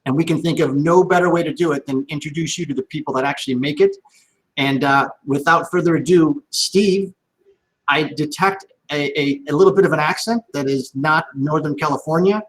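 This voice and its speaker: A man's voice: on the high side, moderate pace, fairly bright tone, poor audio. A man hosting a live-streamed interview over a video call, upbeat, chatty and jokey. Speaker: host.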